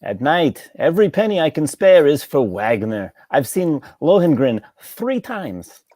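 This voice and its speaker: Guy doing a thin sickly barber in a play reading, husky, dry delivery, lively pitch. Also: measured pace, no room sound. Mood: earnest, stubborn. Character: thin, sickly, timid but stubborn young barber, man. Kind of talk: play table read.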